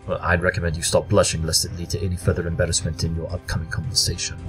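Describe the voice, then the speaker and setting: A brilliant pony detective acted by a man, with a low-pitched voice. He speaks in formal, exact deductions and is blunt and matter-of-fact, as in an audio drama, over faint music. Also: monotone, racing, fairly bright tone. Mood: dry, analytical.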